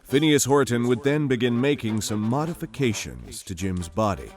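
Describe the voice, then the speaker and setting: A man's voice: mid-range voice, animated, normal speed. A man giving scripted, storytelling narration for a cinematic audio drama. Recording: music bed under narration.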